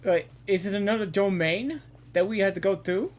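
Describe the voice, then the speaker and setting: High-pitched male gaming reaction streamer. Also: moderate pace. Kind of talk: casual, off-the-cuff game reaction.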